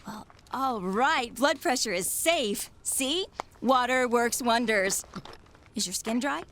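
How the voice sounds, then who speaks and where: An actress playing a brisk, motherly visiting caregiver in a 1930s radio drama, reassuring and full of instructions. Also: on the high side, lively intonation, a bit bright, slight background noise. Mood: checking, reassuring.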